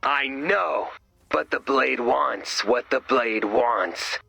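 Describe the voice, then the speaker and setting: An actor playing an excitable, self-justifying goofy man in a video game, with a whiny, dramatic manner. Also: medium pitch, drawn-out words, creaky, no room sound, full character voice, low-quality recording. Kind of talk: scripted video game voice acting.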